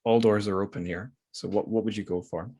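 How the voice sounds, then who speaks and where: A man's voice: fairly low pitch, steady tone, brisk, dark tone, dry recording. A man hosting a casual literary interview podcast, informal and thinking aloud as he talks. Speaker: podcast host.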